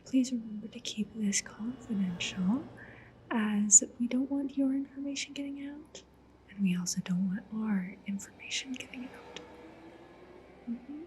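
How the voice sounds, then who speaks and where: A woman playing a polite, discreet cyberpunk matchmaker in an ASMR roleplay, speaking gently and professionally in a breathy whisper. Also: on the high side, unhurried, a little hiss or hum, close to an everyday voice, poor audio. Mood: calm, businesslike. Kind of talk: soft-spoken ASMR roleplay.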